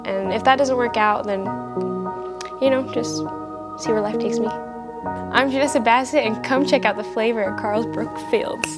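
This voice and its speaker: A young adult woman giving a casual, halting interview as a college athlete, peppered with filler words. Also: on the high side, over loud music. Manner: halting, heavy use of "like". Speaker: college softball player.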